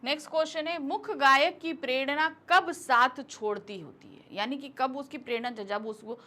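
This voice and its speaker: Bright high-voiced woman teacher. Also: normal speed. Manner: explains patiently, asks and answers her own questions. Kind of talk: exam question walkthrough lesson.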